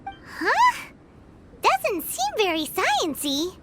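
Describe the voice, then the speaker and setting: A woman voicing a small, childlike, excitable fairy companion in a video game, squeaky and exclamatory, with music behind her. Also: extremely slow, a little hiss or hum, heavily acted. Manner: exclamatory, refers to self in third person. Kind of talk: video game cutscene acting.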